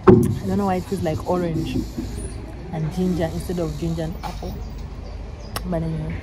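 A woman's voice: fairly low pitch, little pitch movement, moderate pace. Rambling young woman vlogging over street noise. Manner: rambling, many fillers and restarts. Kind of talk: casual vlog chat. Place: out and about, then a café.

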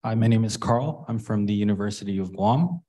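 A man's voice: on the low side, brisk, dark tone, slightly rough. A man introducing himself and explaining his view informally at a roundtable. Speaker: roundtable participant.

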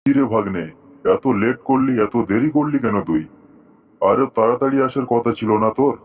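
A man's voice: mid-range voice, fairly quick, husky, lo-fi sound, close to an everyday voice. A man voicing a boastful, gullible tiger uncle in a cartoon, blustering and impatient. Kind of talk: cartoon story dialogue.

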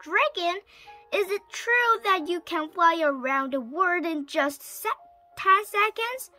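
A bold young storybook princess, acted out by a woman, eager and clever. The voice is very high and bright, swinging widely as it calls out. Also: animated, slow, faint music behind, full character voice. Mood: excited flattery.

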